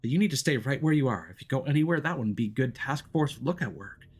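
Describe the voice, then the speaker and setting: A man's voice: medium pitch, lively intonation, fast, slightly rough, pitched above the performer's own voice. A man voicing a patient, long-suffering woman investigator who speaks earnestly and encouragingly, for a story game. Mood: coaxing, encouraging. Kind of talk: visual novel character voice.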